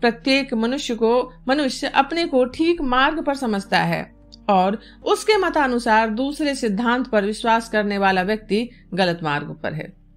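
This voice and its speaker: A woman's voice: fairly high pitch, lively intonation, measured pace. A woman voicing a bold, witty court dancer in a storybook reading, with poetic, philosophical delivery. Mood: bold, challenging. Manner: poetic, philosophical, courageous retorts. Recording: music bed behind the voice.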